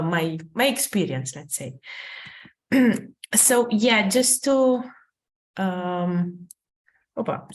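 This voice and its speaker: A young woman from Romania, speaking English as a second language, giving an informal career talk over a video call with many fillers and restarts. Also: mid-range voice. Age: young adult. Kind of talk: informal presentation with slides.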